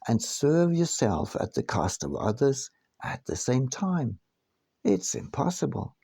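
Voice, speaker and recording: A man narrating his own audiobook from a script in a deliberate, emphatic manner. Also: mid-range voice, vocal fry, mellow, no echo. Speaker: author reading own book.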